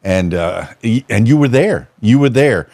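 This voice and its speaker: A man's voice: lowish voice, lively intonation, a little slow, gravelly, darkish tone, dry recording, studio quality. A man hosting a podcast, chatting casually and rambling with lots of fillers and restarts. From Miami. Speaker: podcast host. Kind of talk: casual interview chat.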